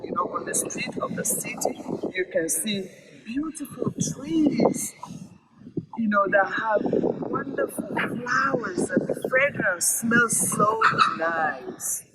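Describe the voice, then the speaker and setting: A man telling a story aloud in a deliberate, emphatic way, with phrases repeated for weight. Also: extremely high pitch, wildly swooping pitch. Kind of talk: oral storytelling read-aloud. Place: virtual event recording. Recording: clearly noisy recording with clicks.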